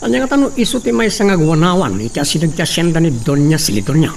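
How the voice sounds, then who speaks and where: An actor playing a sly hacienda foreman who flatters his master. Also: on the high side, fast, gravelly. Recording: distant mic, faint noise.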